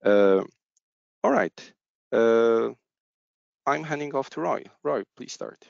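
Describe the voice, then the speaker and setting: Webinar host, agile coach; low gravelly voice, halting with fillers, dry lo-fi call audio. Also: male voice, expressive, no room sound. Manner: hesitant, many fillers and restarts. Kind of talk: online webinar presentation. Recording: dull, lo-fi video call audio.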